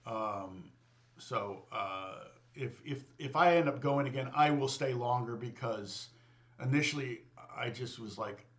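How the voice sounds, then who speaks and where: A middle-aged man, a guitar teacher, chatting casually and rambling with his live viewers, often stopping and restarting his sentences. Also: medium pitch. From United States. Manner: rambling, self-interrupting, quick to laugh at himself. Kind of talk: casual livestream chat.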